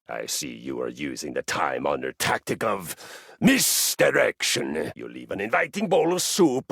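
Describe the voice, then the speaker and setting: An actor voicing a pompous, battle-hungry Viking warrior in a video game, with grandiose and jovially bellicose delivery. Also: male voice, a little high, vocal fry, pushed and bright, husky, no room sound, studio quality, exaggerated voice. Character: boastful, battle-hungry male Viking warrior, a personified treadmill. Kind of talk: theatrical video game character acting.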